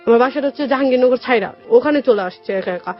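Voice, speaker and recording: A woman's voice: on the high side, quick pace. Young Bangladeshi woman, dull radio sound with music. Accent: Bangladeshi Bengali. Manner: colloquial, retells conversations as quoted dialogue. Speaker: guest telling life story. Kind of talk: personal storytelling in a radio interview.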